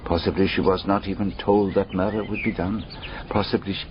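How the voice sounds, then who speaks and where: An actor playing a shrewd, methodical foreign detective in a radio drama, deliberate and courteous, musing aloud in rhetorical questions. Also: male voice, deep voice, level tone, lightly acted. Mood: pondering, explaining. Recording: dull radio recording, clearly noisy background.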